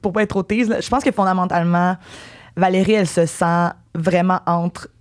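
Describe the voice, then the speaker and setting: A woman's voice: on the low side, fairly even intonation, normal speed. A woman with a Québécois accent, a guest actress rambling and thinking aloud in a casual interview, playfully evasive. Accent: Québécois French. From Quebec.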